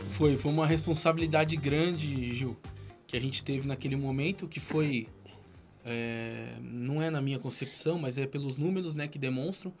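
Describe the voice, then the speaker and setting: A man's voice: mid-range voice, normal speed, soft background music. A man, a city health official, explaining at length in an interview with many restarts. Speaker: guest, city health secretary. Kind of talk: interview answers.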